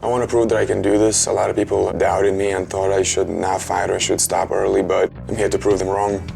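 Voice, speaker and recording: A man's voice: deep voice, level tone, fairly quick, vocal fry, a little mellow. A man in his twenties from Lithuania, a fighter speaking in a promo interview, plain and determined. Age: 20s. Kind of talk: interview soundbites in a promo package. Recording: music bed under the voice.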